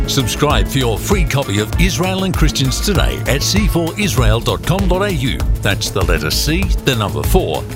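A man reading a scripted radio sponsor ad with a clear, deliberate delivery. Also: mid-range voice. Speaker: sponsor ad announcer. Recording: music bed underneath.

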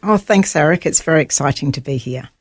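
A woman with a New Zealand accent, a guest telling her life story in an earnest, halting way with many fillers. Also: low voice, animated, slightly rough, no echo, clean studio sound. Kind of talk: personal testimony interview.

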